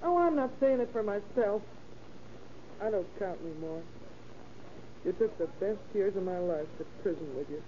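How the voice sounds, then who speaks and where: A dull, faintly hissy old radio recording carries an actress as a long-suffering wife and mother. She is weary and reproachful, scolding in earnest, dramatic 1930s melodrama style at a medium pitch. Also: animated, normal speed, pauses often, warm tone, close to an everyday voice. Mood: reproachful, pleading.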